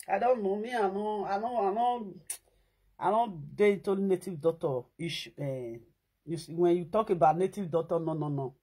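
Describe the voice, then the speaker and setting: A woman in her thirties with a Nigerian Pidgin accent, chatting to her viewers indoors in an animated, emphatic way. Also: lowish voice, breathy edge. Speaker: vlogger. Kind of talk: casual chat to viewers. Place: indoors against a plain wall.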